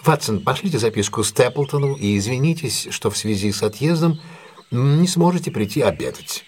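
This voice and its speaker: An actor playing a shrewd, self-assured detective in an audio drama, with a husky voice and a brisk, imperious, theatrical manner. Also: male voice, mid-range voice, animated, a little mellow, a little hiss or hum, close to an everyday voice. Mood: commanding. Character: commanding, shrewd, self-assured male detective plotting a trap.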